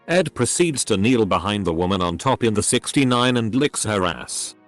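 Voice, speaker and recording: Low-voiced man narrating online stories over music. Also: lively intonation, normal speed, fairly bright tone.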